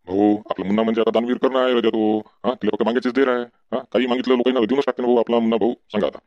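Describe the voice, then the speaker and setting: Man as a rapid-talking, folksy village farmhand. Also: medium pitch, fairly even intonation, a little mellow, no echo, natural voice. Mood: resigned, chatty. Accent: Varhadi Marathi. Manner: folksy, deferential. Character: loyal, gossipy village farmhand. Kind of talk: comedy cartoon dialogue. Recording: dull, lo-fi sound.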